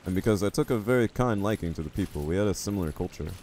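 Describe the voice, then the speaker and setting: A man's voice: sits low, lively intonation, very fast, a little mellow, a little hiss or hum. A man from the United States chatting casually with viewers while he plays a game, rambling and poking fun at himself. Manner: rambling, self-deprecating, reads chat aloud. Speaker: streamer.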